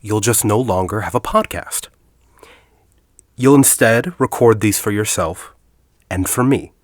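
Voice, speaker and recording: A man playing a composed, persuasive university headmaster in an audio drama, speaking in a formal, deliberate way. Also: on the low side, dry recording, studio quality, lightly acted. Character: composed, persuasive university headmaster, grieving a colleague, quietly calculating.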